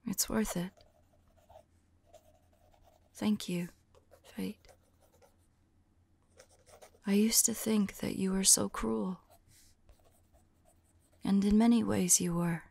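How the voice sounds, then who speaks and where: Woman acting a slow, breathy, whispering vampire lover. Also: mid-range voice, exaggerated voice. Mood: wistful, grateful. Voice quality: soft, breathy, often whispering. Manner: slow, wistful, affectionate. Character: tender undead vampire woman devoted to a reincarnated soulmate. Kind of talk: intimate ASMR roleplay.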